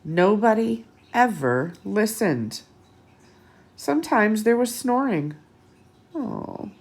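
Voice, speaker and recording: A woman reading a picture book aloud to children expressively, pausing for asides and questions to her young listeners. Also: medium pitch, very animated, a little mellow, slightly rough. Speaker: children's storytime host.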